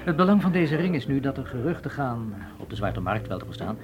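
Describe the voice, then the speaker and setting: A man playing a courteous, learned antiquities official in a radio drama, speaking formally and politely in a measured way. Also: mid-range voice, very animated, close to an everyday voice. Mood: measured, inquiring. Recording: radio play with guitar music underneath.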